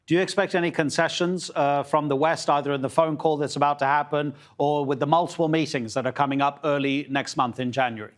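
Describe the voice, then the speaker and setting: Male TV news presenter, pitched a bit above average, conversational and informal while interviewing. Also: steady tone, fast talker, few pauses. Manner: chatty, informal questioning. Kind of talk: live broadcast interview.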